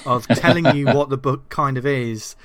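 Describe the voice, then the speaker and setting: A man's voice: mid-range voice, normal speed. A man co-hosting a podcast, enthusiastic and repeating words as he searches for them. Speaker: podcast co-host. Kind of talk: casual podcast chat.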